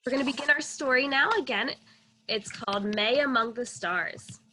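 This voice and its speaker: A young woman co-hosting a children's read-aloud on a video call, teaching with enthusiastic questions in an animated way. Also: sits high, normal speed, a little hiss or hum, rough recording.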